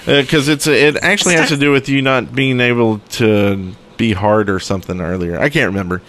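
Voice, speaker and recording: A man's voice: mid-range voice, steady tone, a little fast, fairly bright tone. A man hosting a podcast in a casual, joking conversational style. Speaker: podcast host. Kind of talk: casual comedic podcast chat.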